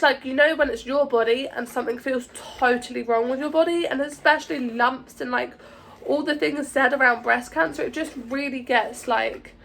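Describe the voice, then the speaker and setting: A young woman talking anxiously to camera in a vlog, hesitant and full of fillers, her voice croaky from a sore throat. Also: sits high, a bit bright, slight background noise. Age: young adult. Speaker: vlogger.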